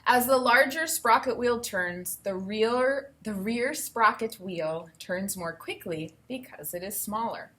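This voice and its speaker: A woman's voice: on the high side, takes it slowly, hardly any pauses. Woman teacher reading aloud and explaining. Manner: explanatory, thinking out loud. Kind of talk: instructional read-aloud with think-aloud commentary.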